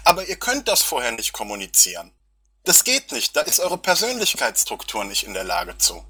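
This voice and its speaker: A man's voice: medium pitch, wildly swooping pitch, normal speed, sharp tone, husky. An agitated, angry man arguing in a confrontational, accusatory and sarcastic way in a heated voice-chat dispute. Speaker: local political party activist. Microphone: online voice chat recording.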